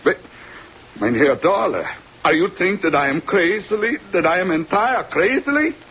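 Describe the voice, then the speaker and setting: Blustering foreign diamond merchant, broken English. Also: male voice, high voice, big pitch swings, normal speed. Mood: indignant. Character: agitated foreign diamond merchant, indignant and mournful. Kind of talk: old-time radio drama acting. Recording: dull vintage radio recording, hissy.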